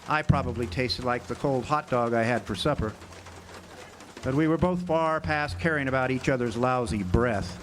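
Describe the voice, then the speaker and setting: An actor playing a world-weary drifter, narrating a hardboiled radio drama in a wry, hesitant way. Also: male voice, mid-range voice, moderate pace, natural voice. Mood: smoldering, wry. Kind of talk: noir-style radio drama narration and dialogue. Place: outdoor amphitheater stage. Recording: noisy outdoor live recording with birdsong.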